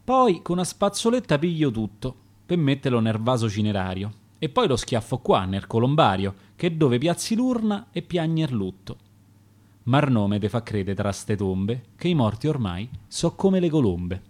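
A man's voice: mid-range voice, very animated, moderate pace. A man with a Roman accent reciting his own dialect sonnets for radio in a rhythmic, dramatic way. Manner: rhythmic, dramatic verse delivery.

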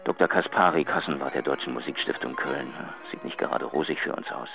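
An actor playing a formal, courteous, apologetic gentleman with a gravelly voice, leaving a voicemail in a radio drama. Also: very deep voice, fairly quick. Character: courteous, gentlemanly male acquaintance, quietly smitten and apologetic. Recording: dull phone message, faint music.

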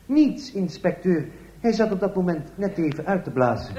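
An eager police sergeant performed by a man reports with deference in a radio play parody. The voice is pitched high, and there is slight noise on the recording. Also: sing-song, normal speed, roomy sound, lo-fi sound. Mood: eager, deadpan. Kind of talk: comic radio play acting.

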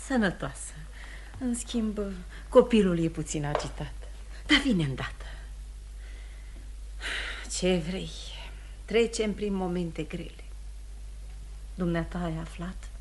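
A woman's voice: fairly low pitch, lively intonation, pauses often, a little mellow, close to an everyday voice. Actress as scornful older mother, noisy old recording. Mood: troubled. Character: worried, scornful older mother of a wronged wife. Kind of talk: Romanian radio theatre acting. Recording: noisy vintage radio drama recording.

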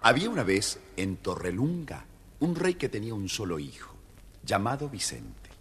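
A man narrating a scripted children's tale as a theatrical, expressive storyteller. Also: fairly low pitch, normal speed, faint music behind.

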